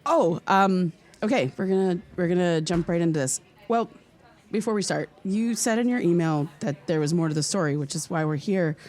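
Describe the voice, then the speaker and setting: An actress playing an earnest, curious young American podcaster in an audio drama, speaking in a conversational, slightly hesitant way. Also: female voice, fairly low pitch, moderate pace, darkish tone, close to an everyday voice. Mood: nervous, friendly. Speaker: podcast host character.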